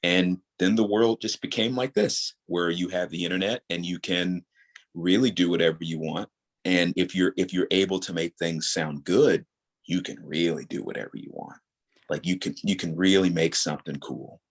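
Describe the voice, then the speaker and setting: Deep voiced guy on a podcast talking about his creative work, earnest, kinda halting, thinking as he goes. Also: steady tone. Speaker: podcast guest, audio drama creator. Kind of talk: casual interview conversation.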